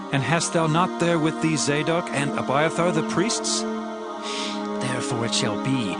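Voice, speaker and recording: An actor playing a grieving, devout king in a dramatized scripture audiobook, speaking in a solemn, archaic, declamatory way. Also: fairly high pitch. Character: grieving, devout king fleeing his rebel son. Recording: loud music under the voice.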